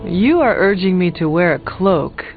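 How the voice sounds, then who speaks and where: A man's voice: sits high, animated, moderate pace, vocal fry. An actor playing an earnest, plain-spoken male warrior hero in a video game, speaking formally and precisely. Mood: skeptical, curious. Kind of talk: scripted video game dialogue. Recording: thin phone-like band, music behind.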